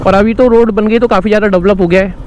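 A young man, talking casually and rambling on while he rides, his voice sitting fairly high. It is picked up by an action camera mic on a moving motorcycle, with clear wind and traffic noise around him. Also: steady tone, rapid-fire, darkish tone. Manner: chatty, rambling, friendly asides to viewers. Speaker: motovlogger. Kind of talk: casual riding commentary. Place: on a motorcycle riding outdoors on roads.

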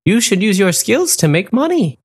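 A man voicing a kind young female flamingo in a raised, gentle voice for a storybook. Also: high voice, big pitch swings, moderate pace, husky, no room sound, voice pushed up, full character voice. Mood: encouraging.

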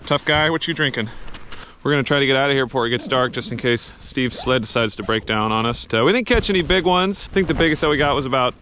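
A man's voice: mid-range voice, fast talker, sharp tone, slight background noise. A man in his thirties vlogging casually to a handheld camera outdoors in the snow, talking in an easygoing, upbeat way. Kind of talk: casual vlog talk to camera. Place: outdoors on a frozen lake in snowy woods. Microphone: camera held at arm's length. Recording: background music under the voice.

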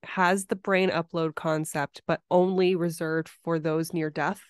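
A woman's voice: lowish voice, little pitch movement, a little slow, clear, resonant tone, dry recording, studio quality. A woman chatting casually as the host of a podcast.

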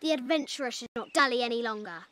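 A woman's voice: on the high side, animated, fast, bright, forceful tone. An actress playing an eager, imaginative little girl in a video game, who talks with playful, dramatic flourish. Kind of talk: storytelling make-believe in a game cutscene.

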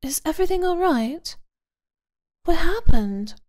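Soft, slow, high-voiced woman soothing. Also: animated, no echo, studio quality. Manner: soft, gentle and soothing, slipping into whispers. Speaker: audio roleplay performer. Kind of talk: comforting audio roleplay.

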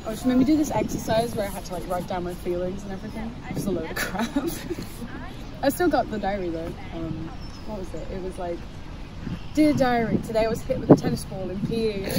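An actress playing a teasing, blunt young British friend in a naturalistic audio drama, with an expressive, mocking way of talking. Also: female voice, on the high side, brisk, heavy background noise, close to an everyday voice. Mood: scornful, amused. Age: young adult. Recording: outdoors, loud chatter and street ambience.

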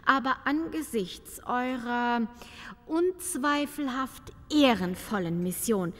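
A woman's voice: fairly high pitch, slow. A woman playing a haughty but gracious countess in an audio play, with stately, affected courtesy. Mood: gracious reluctance. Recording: reverberant hall-like sound.

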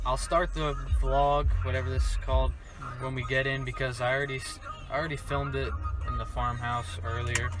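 A young man filming a casual vlog, joking and talking low as he explores a dark abandoned farmhouse basement on a handheld camera. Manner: joking, hushed while exploring. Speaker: vlogger.

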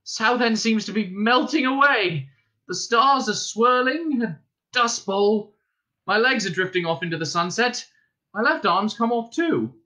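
A man's voice: sits high, very animated, moderate pace, sharp tone, voice pushed up, exaggerated voice. A man voicing a bewildered, polite Englishman in a storybook, fretful and easily panicked, with an anxious, querulous delivery. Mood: distressed.

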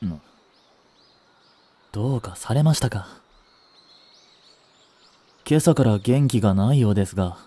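A man's voice: lowish voice, expressive, unhurried, long pauses, warm tone. A man playing a devoted, jealous young butler in a voice drama, with formal, clipped, haughty speech. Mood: concerned, formal. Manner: formal, polite, clipped, turning contemptuous toward a rival. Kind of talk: Japanese voice drama acting.

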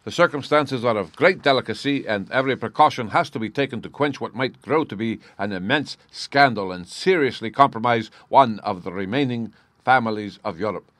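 A man's voice: medium pitch, a little fast, fairly bright tone, no room sound, voice pushed up, close to an everyday voice. A man voicing a proud, agitated king of about thirty in an audiobook story, with a formal, grandiose and emphatic manner. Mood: guarded, formal. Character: proud agitated thirty-year-old nobleman, a masked Bohemian king.

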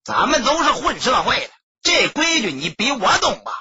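A menacing male gang boss, acted by a male audiobook narrator, with a harsh rasp and piercing, tense edge. He bullies and demands with wildly swinging intonation. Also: extremely high pitch, sing-song, moderate pace, no room sound, dull audio, voice pushed up, full character voice. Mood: coolly threatening. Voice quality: raspy, sharp. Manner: forceful, bullying.

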